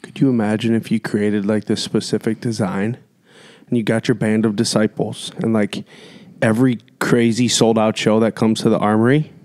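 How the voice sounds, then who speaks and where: Podcast host guy, low relaxed voice, asks deep questions kinda like a therapist. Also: normal speed. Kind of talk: casual interview chat.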